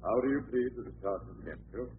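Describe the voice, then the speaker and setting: An actor playing a stern, formal courtroom judge in 1940s radio style. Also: male voice, on the low side, expressive, fast, lightly acted. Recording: noisy, phone-like old radio recording.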